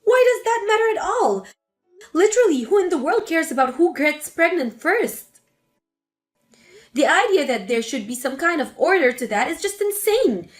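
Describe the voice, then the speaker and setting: A woman's voice: sits high, big pitch swings, normal speed, few pauses, soft background music. A woman voicing an angry grown daughter who confronts her mother sharply. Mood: indignant. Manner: sharp, indignant.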